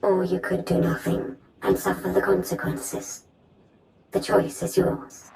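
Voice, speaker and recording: Detached matter-of-fact game character, creaky, roomy echo. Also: female voice, on the low side, level tone, a little slow, a little mellow, slight background noise. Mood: cool, detached. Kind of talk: video game acting.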